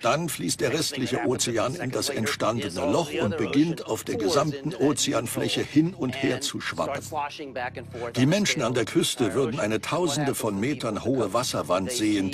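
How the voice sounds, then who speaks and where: Actor as an earnest scientist, murmuring voices behind. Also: male voice, mid-range voice, expressive, normal speed, a crowd in the background, natural voice. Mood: earnest, explanatory. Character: earnest male scientist warning of cosmic threats. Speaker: scientist interviewed in a documentary. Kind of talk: dubbed documentary expert explanation.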